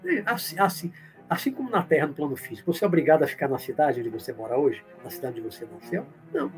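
Animated man teaching. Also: a little high, fast talker, soft background music. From Brazil, born in Olinda, Pernambuco. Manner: explanatory, tag questions, reads comments aloud then answers. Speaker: spiritist teacher answering viewer comments. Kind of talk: live-stream talk reading and answering comments.

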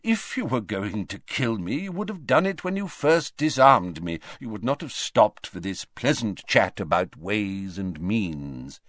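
A man voicing an old, weakened headmaster wizard who speaks lightly and conversationally, sometimes mumbling softly. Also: fairly low pitch, big pitch swings, measured pace, hardly any pauses, no echo, studio quality, exaggerated voice. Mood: gentle, pleading. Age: older.

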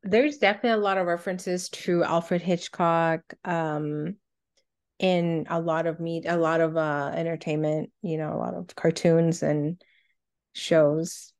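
A woman's voice: low-pitched, level tone, a little slow, a little mellow. A woman co-hosting a podcast in casual conversation, speaking informally and thinking aloud as she goes. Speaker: podcast co-host.

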